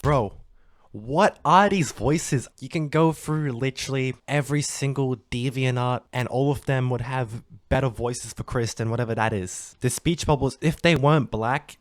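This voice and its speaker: A man's voice: mid-range voice, moderate pace, no echo, clean studio sound. A young man delivering a scripted commentary rant, slangy and melodramatic, drawing out words for emphasis. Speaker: video commentator. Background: music.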